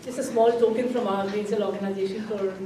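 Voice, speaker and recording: A woman's voice: medium pitch, fairly even intonation, fast talker. A woman hosting a community event for an environmental group, introducing speakers with many fillers in a library room. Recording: very echoey room, far from the mic, noisy.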